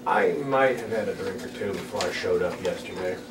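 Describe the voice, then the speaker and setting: A man acts out a weary, lonely older buddy in a lowish voice. His delivery is laconic and deadpan. The script read sounds roomy, with clear noise behind it. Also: fairly quick, close to an everyday voice. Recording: echoey, noisy room, distant mic.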